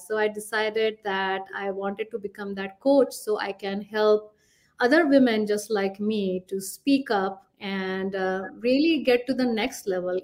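A middle-aged woman from India with an Indian accent, a career coach answering podcast questions in a thoughtful way with many fillers. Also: mid-range voice.

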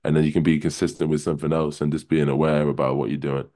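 A man with a remarkably low, deep voice, speaking as a guest on a casual podcast. He talks loosely and at length, often saying "kinda" and "you know" as he works through his points. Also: quick pace. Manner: relaxed, rambling, many "kinda" and "you know".

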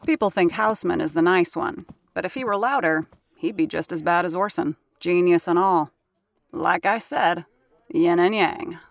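An actress playing a worldly, unimpressed theatre assistant who confides in the listener with knowing sarcasm in an audio drama. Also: female voice, lowish voice, normal speed, gravelly, dry recording. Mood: wry, confiding. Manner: sardonic, knowing.

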